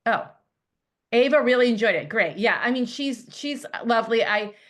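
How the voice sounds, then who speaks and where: A woman's voice: fairly high pitch, animated, moderate pace. A woman presenting a school update on a video call, chatty and upbeat, with many fillers and restarts. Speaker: religious school director.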